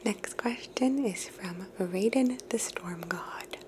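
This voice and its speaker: Softly whispering woman, ASMR host. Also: mid-range voice, slow, noisy background. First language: English. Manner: soft unvoiced whisper, musing and thoughtful.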